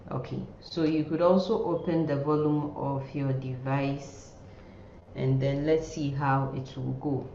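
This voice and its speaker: A woman giving an informal online nursing lecture in a halting way, often repeating words and phrases. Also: rumbling low, steady tone, gravelly, mellow. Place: indoor room, online class. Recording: muffled, roomy sound with faint noise.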